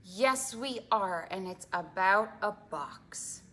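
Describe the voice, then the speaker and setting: A woman hosting an interactive storytime for preschoolers, talking in an animated, exaggerated way to small children and asking them lots of questions. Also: mid-range voice, slow, slight background noise. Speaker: children's librarian and storytime host.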